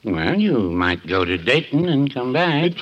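An actor playing a mild older shopkeeper in a 1950s radio comedy, gently teasing in a prim, deadpan, hemming manner. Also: male voice, medium pitch, unhurried, highs cut off, noisy background. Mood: dryly teasing.

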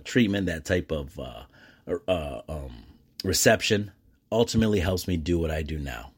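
A middle-aged American man telling personal stories as a podcast host, chummy and animated, often acting out bits of conversation. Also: low-pitched. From United States. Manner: animated, self-describedly chummy and jokey; acts out quoted exchanges. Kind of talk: casual solo podcast monologue, storytelling.

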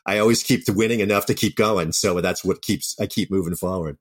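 A man's voice: low voice, a little fast, husky. A man speaking as an interview guest, a writer reflecting candidly and anecdotally on his work.

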